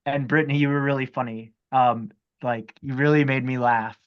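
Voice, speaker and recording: A man's voice: medium pitch, fairly even intonation, normal speed, clean tone. A man chatting casually and earnestly as an actor in a group video-call discussion, giving appreciative feedback. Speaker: actor in a table read discussion. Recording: dull video-call audio.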